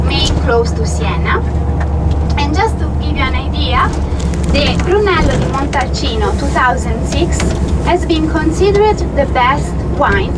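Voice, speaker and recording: A woman from Tuscany with an Italian accent, giving a tour guide's commentary on a bus. Her English is a second language, and she explains things with pauses and fillers. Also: high-pitched. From Tuscany, Italy. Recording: distant voice over loud bus noise.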